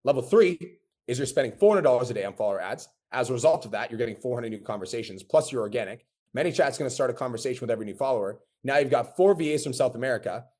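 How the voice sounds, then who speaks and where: A man's voice: mid-range voice, expressive, very fast, darkish tone. A man teaching a live coaching webinar, blunt and casual with frequent profanity. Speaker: business coach.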